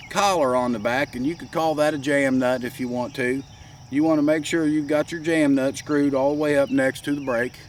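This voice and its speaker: A man with a head cold, hoarse and congested, giving a folksy step-by-step workshop demonstration. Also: medium pitch, recorded from a distance, a little hiss or hum. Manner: folksy, plain-spoken, explains step by step. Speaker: gunsmith demonstrating a product.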